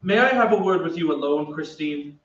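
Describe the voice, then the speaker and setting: A man's voice: fairly high pitch, lively intonation, fairly quick, a bit bright. Man playing confident opera singer, echoey stage. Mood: self-assured. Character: earnest, self-assured young opera singer, suitor and narrator. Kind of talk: old-time radio drama acting. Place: theatre stage. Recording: echoey stage, distant, dull, noisy.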